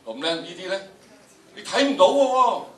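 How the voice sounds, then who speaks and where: A man's voice: high voice, sing-song, normal speed. An older man with a Hong Kong Cantonese accent, teaching a class with theatrical, emphatic delivery and repeated phrases. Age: older, about 70s. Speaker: drama teacher, lecturer. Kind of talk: animated classroom lecture to students. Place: large indoor lecture room with audience. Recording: echoey lecture room, distant, noisy.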